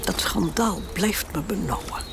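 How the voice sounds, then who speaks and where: A woman's voice: medium pitch, unhurried, vocal fry, background noise. An actress playing a stubborn elderly queen in a radio drama, dignified and given to emotional exclamations. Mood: distressed. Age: older. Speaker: narrator and lead in radio drama.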